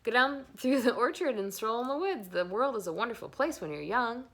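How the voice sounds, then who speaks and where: Excitable mother goose for a storybook, played by a woman: high, animated, bossy, repeating herself in urgent chatter. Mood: eager, urging. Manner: rapid, repetitive, urgent chatter.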